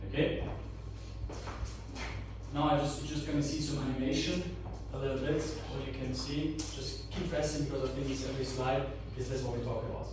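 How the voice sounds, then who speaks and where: A man's voice: medium pitch, steady tone, brisk. A young man who grew up in Austria, a football assistant coach giving a tactics presentation to players and quizzing them with repeated questions. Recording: echoey room, mic far off, noise.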